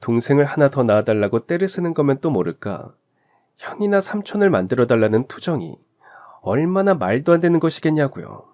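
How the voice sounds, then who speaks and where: A man's voice: medium pitch, sing-song, brisk. Man narrating a heartfelt story. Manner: gentle, reflective first-person telling.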